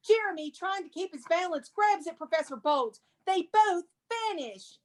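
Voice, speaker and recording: High-voiced woman narrating theatrically. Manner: dramatic, emphatic. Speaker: museum curator presenting and reading stage directions.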